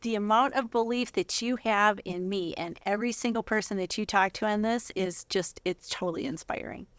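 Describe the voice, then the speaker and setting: A woman with a Minnesotan accent speaks in a clear, smooth voice at a middling pitch. Her manner is laid-back, and the sound is close and dry. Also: fairly quick, a bit bright, no echo. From Minnesota, United States. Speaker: guest reader, life coach. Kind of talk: scripture reading and interview chat.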